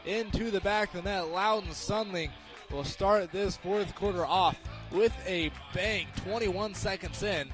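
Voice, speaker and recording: A young American man calling live sports play-by-play for radio in an excited, emphatic manner. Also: high-pitched, measured pace, over music. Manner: excited, emphatic, rising to shouts on big plays.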